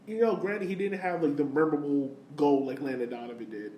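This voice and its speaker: A man's voice: on the high side, brisk, mellow. An American man co-hosting a sports podcast, talking soccer casually and giving his opinions in a conversational way. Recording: roomy, distant mic, faint noise.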